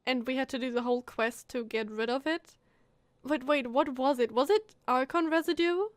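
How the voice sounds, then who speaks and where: A woman's voice: sits high, normal speed, no room sound. A woman giving casual, chatty, questioning commentary as she plays a game on stream, close to the mic. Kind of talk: casual reactive gameplay commentary.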